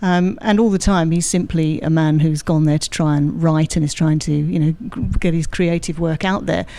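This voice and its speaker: Mellow-voiced woman explaining things articulately. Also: sits low, steady tone, moderate pace, dry recording. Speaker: guest expert, university drama lecturer. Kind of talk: studio interview, informed explanation. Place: radio studio.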